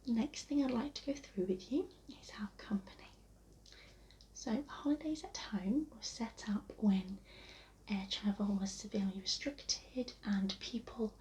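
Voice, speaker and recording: Soft, breathy English woman, near whisper. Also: medium pitch. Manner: polite, reassuring, unhurried customer-service talk. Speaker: ASMR host playing a receptionist. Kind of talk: soft-spoken ASMR roleplay. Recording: lo-fi, muffled, noticeable background hiss.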